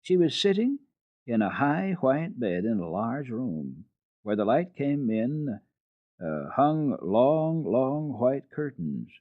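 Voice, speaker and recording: A man telling a children's story on a radio program in a dramatic, deliberate reading with expressive pauses. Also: medium pitch, no room sound. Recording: tinny band-limited archival radio recording.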